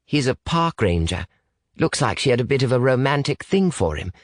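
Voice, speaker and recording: A man's voice: mid-range voice, very animated, normal speed, no echo, studio quality, voice pushed up, lightly acted. A man voicing a stiff, formal young British man with precise wording, anxious beneath it, in an audiobook story. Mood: dry, unimpressed.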